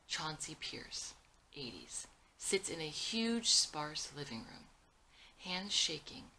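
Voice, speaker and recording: Low, bright-voiced woman reading a screenplay. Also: slight background noise, low-quality recording. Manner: reads scene headings and stage directions. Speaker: screenwriter reading own script. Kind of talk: scripted screenplay narration.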